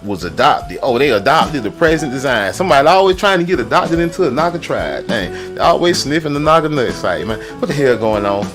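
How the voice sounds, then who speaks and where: A man with a baritone voice hosting a stream, talking in an excitable, joking and profane way. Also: brisk, few pauses. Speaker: streamer host. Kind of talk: loud ranting commentary. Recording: music playing underneath.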